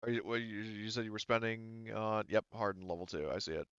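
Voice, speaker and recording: Slightly dull, lo-fi, close sound carries a man's low voice. He is a game master at a tabletop session, explaining in an informal, halting way with a smooth tone. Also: fairly even intonation, fairly bright tone, no room sound. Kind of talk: casual tabletop game narration and chat.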